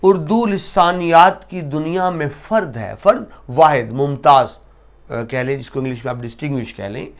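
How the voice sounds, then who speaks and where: A man giving a lesson to students, explaining essay writing and reciting couplets slowly with emphasis. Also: on the high side. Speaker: teacher.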